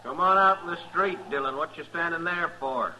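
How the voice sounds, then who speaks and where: A swaggering American gunfighter, calls out in a very sharp, forceful voice. He goads and taunts like a radio western villain. Faint noise sits on the old recording. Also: fairly high pitch, a little fast. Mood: taunting, menacing. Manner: goading, calling out. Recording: noisy vintage radio recording.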